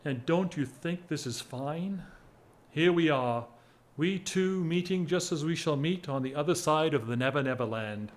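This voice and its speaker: An actor playing a whimsical, lovesick English gentleman of forty-one in a period audio drama, wry, measured and self-mocking. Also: fairly high pitch, lightly acted. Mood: wistful, restless.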